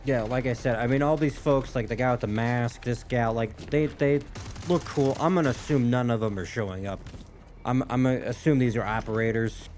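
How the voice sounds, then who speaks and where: A man hosting a reaction video speaks in a mid-pitched voice. His delivery is casual and musing, as if thinking aloud while he watches. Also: brisk, a little mellow, slight background noise. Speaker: host reacting to game cutscenes. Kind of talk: casual spoken commentary.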